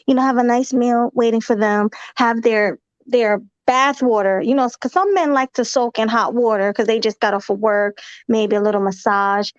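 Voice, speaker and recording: Woman host, conversational, somewhat high voice. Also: fairly even intonation, moderate pace, hardly any pauses, smooth, dry recording. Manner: conversational, laughs between points. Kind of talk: casual opinion chat. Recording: dull, lo-fi audio.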